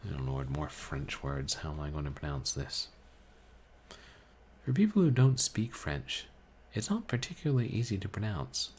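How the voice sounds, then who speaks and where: A man streaming a book reading, chatting casually with his chat in a calm, musing, halting way. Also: extremely low pitch, a little hiss or hum. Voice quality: calming voice. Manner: musing, soft-spoken, halting. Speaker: streamer reading books aloud.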